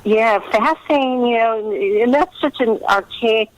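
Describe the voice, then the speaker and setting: A woman novelist talking casually as an interview guest, gently and a little hesitantly, often trailing off mid-thought. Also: fairly high pitch, a little slow, low-quality recording. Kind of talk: casual interview chat about own writing.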